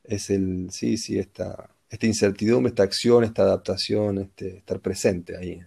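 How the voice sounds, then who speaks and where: A man's voice: low voice, steady tone, moderate pace, creaky, dark tone, breathy edge. A man with an Argentine Rioplatense accent, talking reflectively and philosophically in a casual conversation.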